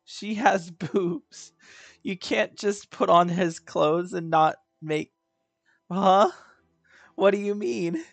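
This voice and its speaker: A woman's voice: lowish voice, takes it slowly, vocal fry, no room sound, faint music behind. A woman giving casual gameplay commentary as a streamer, with offhand asides reacting to the game.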